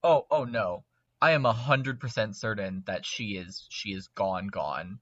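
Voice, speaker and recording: A man's voice: on the low side, a little slow, a bit bright, no echo, highs cut off. A man chatting casually as a podcast guest, speaking emphatically and repeating words for emphasis. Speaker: podcast guest, lore expert. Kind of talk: casual discussion.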